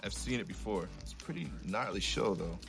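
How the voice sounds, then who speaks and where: An actor in a naturalistic audio drama playing a laid-back, crude stoner friend who talks in slangy dude speak. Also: male voice, sits low, a little fast, vocal fry, a bit bright, faint music behind. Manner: slangy, casual dude talk.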